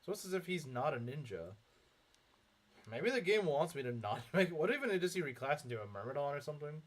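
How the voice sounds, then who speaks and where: Lively, rambling young man streamer. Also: fairly high pitch, a little fast. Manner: rambling, heavy filler words, frequent chuckles. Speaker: game streamer. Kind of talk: casual live-stream commentary reading chat.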